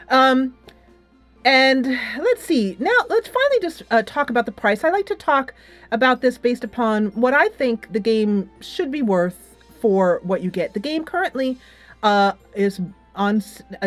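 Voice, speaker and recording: A woman giving a casual, enthusiastic game review in a conversational, rambling way. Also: mid-range voice. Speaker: video game reviewer, channel host. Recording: music playing behind the voice. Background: game soundtrack music playing in background.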